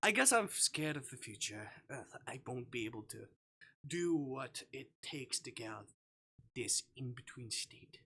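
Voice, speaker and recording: A man reading aloud the part of a teasing, brooding young man in a visual novel, in a soft, understated delivery. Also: medium pitch, animated, measured pace, gravelly, husky, full character voice. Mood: quiet, troubled.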